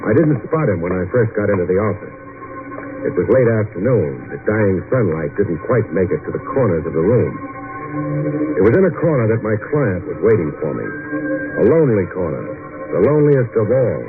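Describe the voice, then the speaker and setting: An actor playing a wry, deadpan private detective, narrating and talking in an old-time radio noir style. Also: male voice, on the low side, a little fast, over music. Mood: brooding narration. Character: wry, hard-boiled male private detective narrating his case. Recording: tinny old radio recording.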